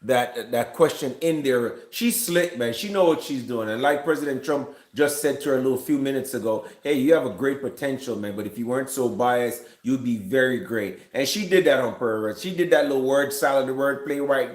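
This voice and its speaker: A man's voice: medium pitch, a little fast, hardly any pauses. Man commentating in a roomy space. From Jamaica. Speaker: reaction video commentator. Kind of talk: casual off-the-cuff commentary. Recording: roomy, echoing room sound.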